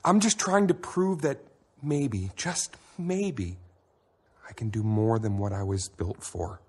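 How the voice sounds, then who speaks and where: A man's voice: mid-range voice, slow pace, a little mellow, husky. A man voicing a restless young crop-duster plane, earnest and pleading.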